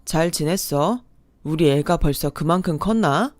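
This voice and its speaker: A woman's voice: deep voice, a little slow, no echo. Woman putting on a sleazy scheming guy, drawly and sneering, dry close sound, faint music under. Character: sleazy scheming young man, mocking ex-lover.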